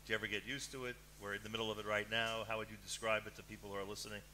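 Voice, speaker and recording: Middle-aged male host, very bright tone, animated, faint hum behind. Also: lowish voice, rapid-fire, rough recording. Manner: enthusiastic, animated. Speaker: radio host. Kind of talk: live radio interview. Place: broadcast booth on location. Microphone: headset mic.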